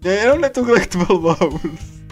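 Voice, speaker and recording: A man's voice: high-pitched, animated, a little slow, soft background music. A man streaming a visual novel with casual, rambling commentary, often repeating himself and exclaiming. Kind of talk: casual live commentary on a game.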